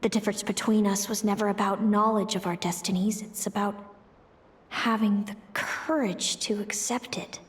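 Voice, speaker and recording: A woman playing a solemn, fatalistic young seeress in a video game, speaking in a measured, brooding, formal way. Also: mid-range voice, fairly even intonation, lightly acted. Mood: reflective. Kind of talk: dramatic video game voice acting.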